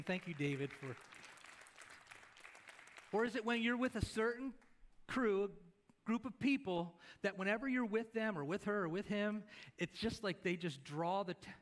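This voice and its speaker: A middle-aged male pastor preaching from a church stage into a headset mic. He talks in a conversational way, asking the congregation questions and gesturing as he moves around. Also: high-pitched. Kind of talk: sermon.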